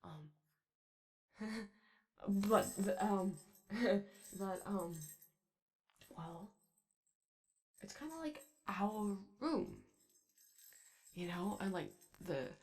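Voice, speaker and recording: Hesitant, low-voiced woman as a gentle daycare robot, creaky. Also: full character voice. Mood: awkward, welcoming. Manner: hesitant, rambling, tentative, frequent trailing off. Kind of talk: roleplay ASMR, improvised-sounding casual chat.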